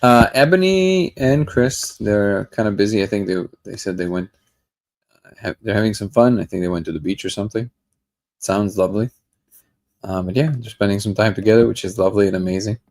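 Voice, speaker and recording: A man's voice: lowish voice, fairly quick, a little mellow. A man hosting a daily livestream, chatting casually and greeting viewers by name. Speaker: livestream host. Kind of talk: casual live chat reading comments.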